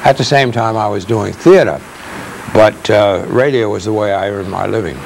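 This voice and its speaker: An older American man, a veteran actor, reminiscing in a reflective, measured way. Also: fairly low pitch, gravelly, darkish tone, slight background noise, poor audio. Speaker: veteran radio and theater actor. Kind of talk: reminiscing, unscripted talk.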